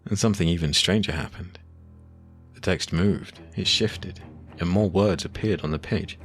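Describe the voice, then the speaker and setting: A man playing a weary, shaken occult adventurer, telling his ordeal in deliberate, brooding scripted narration. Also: low voice, natural voice. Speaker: first-person narrator. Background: music.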